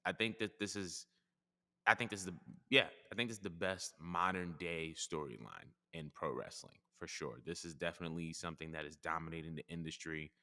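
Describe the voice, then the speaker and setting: A man with a low voice and a bright, forceful tone speaks in a measured way, weighing each point as he goes. A long reverb hangs around his words. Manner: measured, thinks aloud, hedges. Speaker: podcast host. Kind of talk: casual chat.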